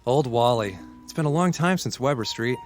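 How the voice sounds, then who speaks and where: An actor playing a friendly but somber neighborhood acquaintance in a radio drama, plain and earnest. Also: male voice, medium pitch, animated, moderate pace, soft background music, close to an everyday voice.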